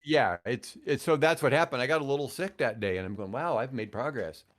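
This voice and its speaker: Podcast guest: older American man, casual rambling storyteller with an average-pitched voice. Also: expressive, a little fast, a bit bright. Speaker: podcast guest, retired radio host. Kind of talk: casual anecdotal podcast chat.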